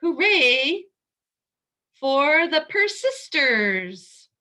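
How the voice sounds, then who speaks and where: A woman reading a picture book aloud to young children, expressively, pausing to explain things simply. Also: high-pitched, very animated, bright, forceful tone.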